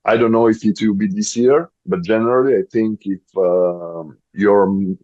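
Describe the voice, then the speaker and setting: A low, dark-toned man in his thirties with a Bulgarian accent speaks English carefully, stopping often to find the right word. The sound is dry and slightly dull, as from a modest recording setup. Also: steady tone, no room sound. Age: 30s. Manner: searches for English words, many pauses. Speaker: guest, volleyball player. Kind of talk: podcast interview.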